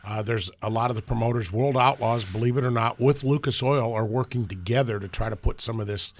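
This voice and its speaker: A man with a deep voice, a racetrack promoter talking in casual, plainspoken radio chat with folksy grammar. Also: fairly quick.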